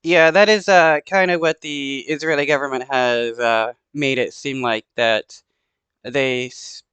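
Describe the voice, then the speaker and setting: A man giving casual, off-the-cuff political commentary, often halting and repeating himself mid-thought. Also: mid-range voice, fairly even intonation, fairly bright tone, clear, resonant tone, no echo. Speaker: commentator reacting to news footage.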